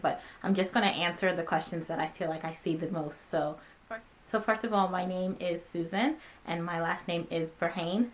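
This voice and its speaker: A woman in her twenties, born in Ghana and raised in America, answering viewer questions in a casual, chatty talking video with many ums and uhs. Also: fairly low pitch. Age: 20s. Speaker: vlogger.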